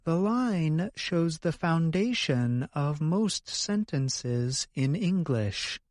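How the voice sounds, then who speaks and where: A man's voice: fairly high pitch, lively intonation, very slow, darkish tone, dry recording, studio quality. A man co-hosting an educational podcast, reading scripted explanations in a careful, explanatory manner. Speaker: co-host of an English lesson podcast.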